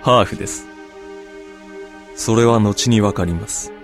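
A man's voice: on the low side, faint music behind. A man playing a coldly polite, mocking villain in an audio drama, speaking smugly with a sneer under the courtesy. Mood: businesslike. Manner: slow, smug, politely sneering. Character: coldly polite, mocking male villain who hunts werewolves. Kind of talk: Japanese audio drama acting.

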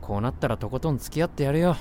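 Woman acting a grumpy, low-voiced young man. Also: lively intonation, rapid-fire, dark tone, a little hiss or hum. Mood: resigned, determined. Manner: rough, blunt masculine speech, exasperated retorts. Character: grumpy but kind-hearted young man haunted by a ghost. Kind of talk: Japanese amateur voice drama acting.

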